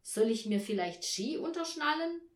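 A woman's voice: high-pitched, expressive, moderate pace, voice pushed up. Woman playing an irritable, exasperated mother, echoey room. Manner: heated, complaining, emphatic. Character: irritable, short-tempered mother, exasperated and snappish.

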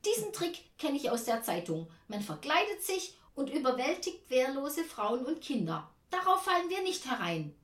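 A woman's voice: very animated, moderate pace, few pauses, roomy sound. A woman voicing an anxious, bossy, suspicious aunt in a children's story, exclaiming and scolding. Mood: indignant. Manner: shrill exclamations and stern scolding.

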